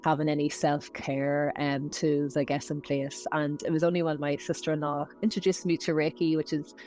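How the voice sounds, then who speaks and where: A woman's voice: sits low, flat delivery, normal speed, darkish tone, soft background music. Reflective Irish woman guest. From Ireland. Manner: hesitant, reflective, many fillers. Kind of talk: casual interview chat.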